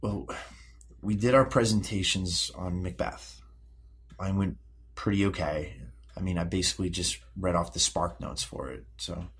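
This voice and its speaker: An actor playing a defensive, moody sixteen-year-old American high school boy in an audio drama, halting and slangy. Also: steady tone, slightly rough. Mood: reluctant, grumbling. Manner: halting, repeating words, slangy teenage speech. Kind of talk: naturalistic audio drama dialogue.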